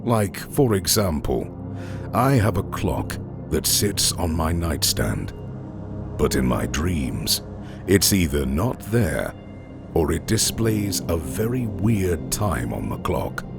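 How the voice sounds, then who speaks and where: A man reading scripted horror stories as a narrator, in a deliberate, suspenseful way with drawn-out phrase endings. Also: sits low, sing-song, gravelly. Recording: music under the voice. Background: background music.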